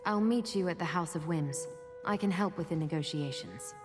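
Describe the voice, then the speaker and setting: An actress playing a guarded, plain-spoken female adventurer in a fantasy video game, informal and a little halting. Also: lowish voice, natural voice. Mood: businesslike. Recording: long reverb with music behind.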